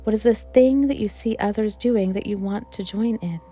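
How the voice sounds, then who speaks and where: A woman leading a guided meditation for worship, speaking in a gentle, reflective manner with unhurried, pausing phrases. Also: mid-range voice, husky, soft background music. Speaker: worship leader guiding a meditation.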